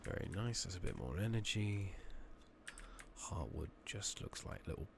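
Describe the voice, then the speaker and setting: A man with a very low, gravelly voice gives soft-spoken ASMR game commentary. He rambles casually, his pitch moving in a lively way between murmurs and whispers. The recording has clear background noise from a rough mic setup. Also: normal speed. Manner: casual, rambling, swears mildly. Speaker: game streamer playing an online fantasy game. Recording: noisy makeshift microphone, clear background noise.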